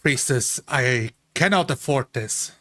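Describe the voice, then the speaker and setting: A man's voice: medium pitch, expressive, slow, pushed and bright. A man who is a tabletop game player, describing and voicing his character's actions.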